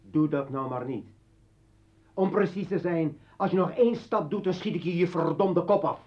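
Man acting a sardonic, commanding revolutionary, highish voice. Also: normal speed, close to an everyday voice. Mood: threatening, commanding. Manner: taunting, commanding. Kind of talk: Dutch radio play acting. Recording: dull old radio recording.

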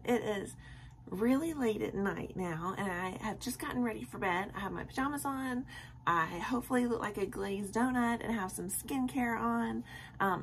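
A young woman with a tired, scratchy voice chats casually to camera from her bedroom at night, rambling with many ums. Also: mid-range voice. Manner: rambling and friendly, with many ums and soft laughs. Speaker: vlogger.

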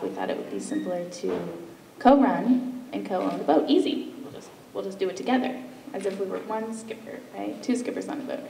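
A woman's voice: medium pitch, creaky, mellow. A young woman, a fishing skipper, telling stories on a live panel. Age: late 20s to early 30s. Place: auditorium stage, recorded from audience distance. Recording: echoey, distant, noisy hall recording.

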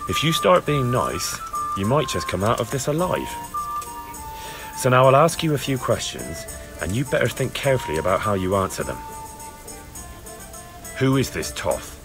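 An actor playing a stern, taunting young medieval soldier in a video game, speaking firmly and deliberately as an interrogator. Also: male voice, mid-range voice, sing-song, poor audio. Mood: stern, threatening. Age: young adult. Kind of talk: video game cutscene acting. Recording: music under the voice.

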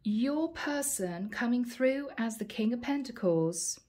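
Chatty woman reading tarot, slightly hoarse. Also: a little high, expressive, takes it slowly. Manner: chatty, warm address to viewers, frequent "guys" and "okay". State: slightly hoarse, losing her voice. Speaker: tarot reader. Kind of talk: casual tarot reading to camera. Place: indoor home room, table with plants.

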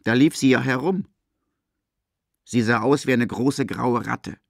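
A man's voice: medium pitch, unhurried, creaky, dry recording, clean studio sound. A man reading scripted literary narration as the narrator of a radio play.

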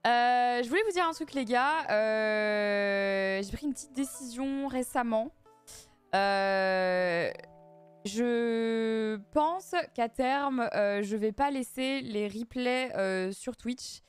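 A young woman from France, chatting casually with her live viewers and answering their chat messages, speaking into a boom mic in a small room. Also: slow, smooth, soft background music. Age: young adult. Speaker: streamer. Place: small dim room, indoors. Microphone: studio mic on boom arm, headset on.

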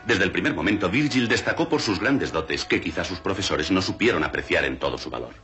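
An actor playing a solemn, mock-grave documentary narrator in a film comedy. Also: male voice, deep voice, lively intonation, fairly quick, sharp tone, highs cut off, faint music behind, full character voice.

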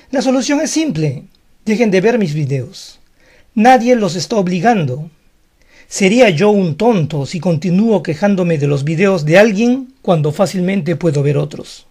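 A man whose first language is Spanish gives an online lesson in a frank, explanatory way. His voice sits high for a man. He defends his points plainly and directly. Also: mellow. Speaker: English teacher.

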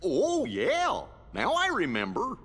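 A goofy, kindly cartoon dog with a husky voice that swoops up and down. He bumbles along in a folksy, hesitant way. The sound has long hall reverb. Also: high voice, huge pitch swings, slow pace, fairly bright tone, full character voice. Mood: cheerful. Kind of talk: cartoon character acting in video game.

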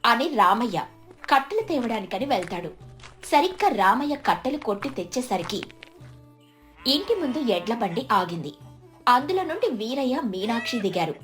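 Female storyteller, scripted folk-tale narration, pitched a bit high, light music bed. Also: big pitch swings, moderate pace, fairly bright tone.

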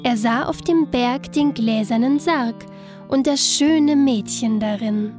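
A woman's voice: on the high side, takes it slowly. A woman narrating a scripted fairy tale as a storyteller. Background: soft instrumental music.

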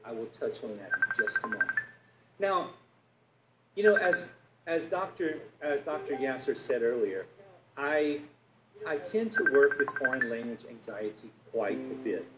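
A man giving an academic conference talk in English, his first language, animated and fond of rhetorical questions. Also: mid-range voice, measured pace, loud music behind the voice. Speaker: academic presenter. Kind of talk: conference presentation. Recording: echoey room.

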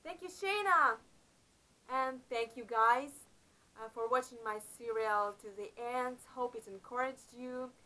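Bright, high-voiced woman telling a faith story. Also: poor audio. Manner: earnest, slightly halting phrasing with uneven emphasis. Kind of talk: narrating a Bible-themed adventure story. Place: small indoor room before a painted backdrop. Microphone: camera close up in front of her.

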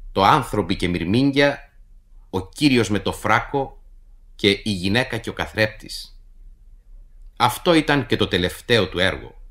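A man reading a scripted introduction to a radio play in a formal, measured literary manner. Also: mid-range voice, a bit bright. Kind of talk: scripted narration for radio theatre.

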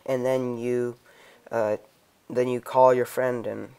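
Young male tutorial host: clean, smooth voice, flat intonation, casual and unscripted, a little hesitant. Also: medium pitch, takes it slowly. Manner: hesitant, improvised explaining. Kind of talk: casual screen-recorded software tutorial. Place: indoors, voice-over on a computer screen recording.

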